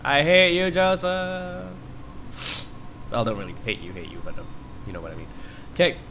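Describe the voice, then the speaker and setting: A man's voice: fairly high pitch, fairly even intonation, normal speed, a bit bright, slight background noise. A man with a stuffy nose from a cold, giving rambling, reactive commentary while he plays a horror game and talks to the screen. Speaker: let's play host. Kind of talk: casual gameplay commentary.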